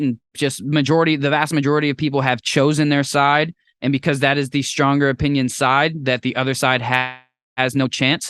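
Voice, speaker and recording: A young man hosting a podcast in casual chat, talking in an animated, rambling way with playful jumps into a high voice. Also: smooth, dry recording, studio quality. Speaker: podcast host.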